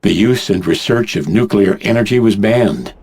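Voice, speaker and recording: A man narrating a game cutscene as a grave, measured teller of world events. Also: on the low side, animated, a little mellow, slight background noise. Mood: solemn. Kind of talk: scripted dramatic narration.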